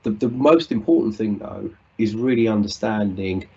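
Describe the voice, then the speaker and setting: A man's voice: on the low side, moderate pace, gravelly, warm tone, slightly rough, highs cut off. A British man giving an earnest, reflective conference talk over a video call, often restarting his sentences. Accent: British English. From United Kingdom. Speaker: conference speaker.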